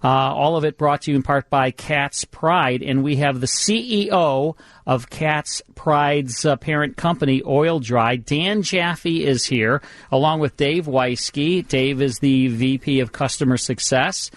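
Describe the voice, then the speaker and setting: A man's voice: medium pitch, unhurried, no room sound. A man hosting a radio show in informal, conversational chat, prompting his guests as he goes.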